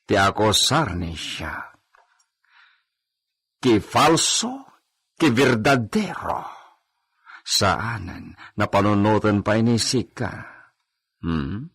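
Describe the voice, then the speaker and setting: A male actor plays a domineering Spanish-speaking lord in a radio drama. He is extremely slow and deliberate, with a lowish, husky voice. He sounds entitled and lecherous, used to being obeyed. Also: sing-song, fairly bright tone, no echo, studio quality, full character voice. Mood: cold, commanding. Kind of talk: Ilocano radio drama acting.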